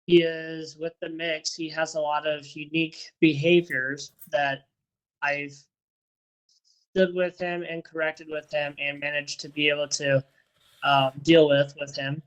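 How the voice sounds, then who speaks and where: Man, rambling and friendly, slightly high voice, repeats himself, heard through a video call. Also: a bit bright, rough recording. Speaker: interviewee, first responder and dog handler. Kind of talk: casual interview answers.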